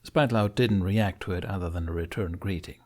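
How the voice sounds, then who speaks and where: Male narrator for fantasy fiction audiobook, low register, dramatic storytelling read. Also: brisk, a little mellow.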